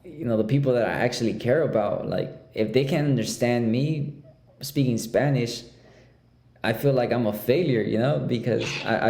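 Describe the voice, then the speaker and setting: A young man hosting a casual interview, talking in halting phrases with many restarts. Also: mid-range voice, mellow, roomy sound. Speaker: podcast host.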